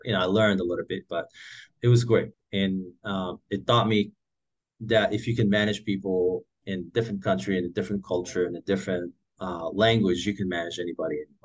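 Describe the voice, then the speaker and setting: Deep voiced moroccan-born guy, 40s, rambly relaxed storytelling, lots of uhs. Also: fairly quick, slightly rough, highs cut off. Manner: relaxed, many "uh" and "um" fillers, frequent restarts. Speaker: guest entrepreneur. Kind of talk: casual storytelling interview.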